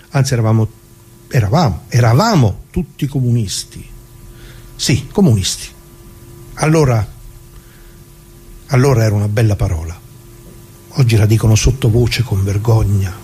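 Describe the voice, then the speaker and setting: An actor playing a nostalgic, proud older working-class communist in a radio theatre monologue, talking colloquially and haltingly, repeating his words with earnest feeling. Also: mid-range voice, animated, a little mellow, husky. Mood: reminiscing.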